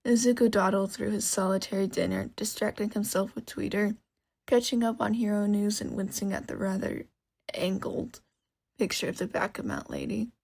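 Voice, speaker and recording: A woman reading a story aloud as expressive scripted narration. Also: mid-range voice, moderate pace, vocal fry. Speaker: narrator, podfic reader.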